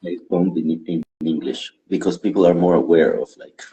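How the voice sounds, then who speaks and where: Very deep-voiced comedian, laid-back. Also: male voice, normal speed, warm tone, rough recording. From Guatemala. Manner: rambling, self-deprecating, laughs often. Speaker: stand-up comedian, guest. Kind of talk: casual interview chat.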